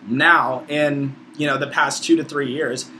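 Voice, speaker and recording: A man's voice: mid-range voice, steady tone, a little slow, bright, forceful tone, a little hiss or hum, low-quality recording. A man of about twenty with an American accent, talking casually and opinionatedly as a video blogger, with slangy, profane, informal phrasing. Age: around 20. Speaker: video blogger, college student. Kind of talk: casual opinionated vlog monologue.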